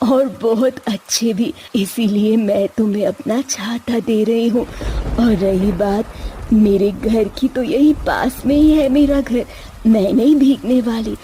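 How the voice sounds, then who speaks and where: A woman voicing a kind old woman in a children's fairy tale, with gentle, halting, deliberate delivery. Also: mid-range voice, slightly rough. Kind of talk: Hindi children's story voice acting.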